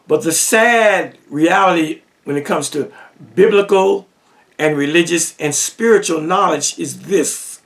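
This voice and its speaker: Slow, gravelly high-voiced man lecturing. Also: a bit bright, far from the mic. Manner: emphatic, rhetorical questions, repetition for effect. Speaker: religious teacher. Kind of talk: lecture-style monologue.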